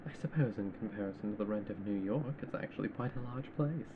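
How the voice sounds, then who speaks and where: A man's voice: lowish voice, fast, phone-quality sound, noisy background. A man giving casual, wry running commentary on an old film.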